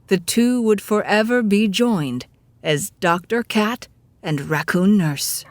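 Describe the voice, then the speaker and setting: A woman's voice: mid-range voice, takes it slowly, no echo. A woman narrating a scripted audio drama in a storybook style. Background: music with guitar.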